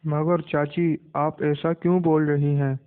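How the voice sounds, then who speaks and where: A man's voice: fairly high pitch, flat delivery, takes it slowly, smooth, no room sound. A man playing a wronged, worried village boy in a cartoon moral tale, with a plaintive, dismayed manner. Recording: muffled, narrow phone-like sound.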